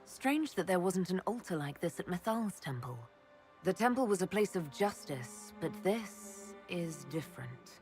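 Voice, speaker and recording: A composed, skeptical elven heroine. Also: female voice, lowish voice, big pitch swings, a little slow, darkish tone, faint music behind. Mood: thoughtful. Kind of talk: video game cutscene acting.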